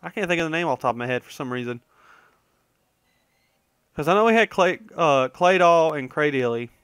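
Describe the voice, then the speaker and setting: A man's voice: a little high, expressive, brisk, a bit bright, smooth, no echo. A man giving casual, rambling gaming commentary, conversational and opinionated, often trailing off and correcting himself. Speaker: gaming video host.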